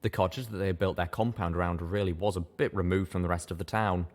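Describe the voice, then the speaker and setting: A man's voice: low voice, fairly even intonation, fast. A man narrating a scripted adventure story in a dramatic reading style.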